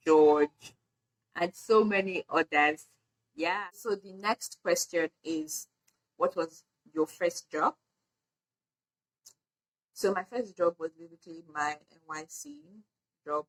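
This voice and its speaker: Woman, young adult, Nigerian accent, low-pitched, chatty vlog, frequent fillers. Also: fairly bright tone, low-quality recording. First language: Edo. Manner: chatty, laughs often, fillers like "like". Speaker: vlogger. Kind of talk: casual get-to-know-me vlog.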